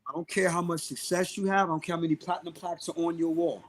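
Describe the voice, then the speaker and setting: Emphatic Brooklyn man, slightly high voice. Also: steady tone, fast talker. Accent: Brooklyn, New York. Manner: emphatic, outspoken, repeats phrases for stress. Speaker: guest, singer and music producer. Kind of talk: live podcast interview talk.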